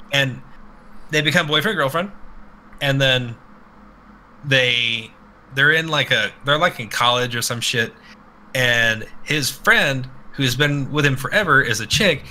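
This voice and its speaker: A man's voice: medium pitch, sharp tone, slight background noise, low-quality recording. A man co-hosting a casual podcast, telling rambling stories in a crude, profane way. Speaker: podcast co-host. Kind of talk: casual podcast banter and storytelling.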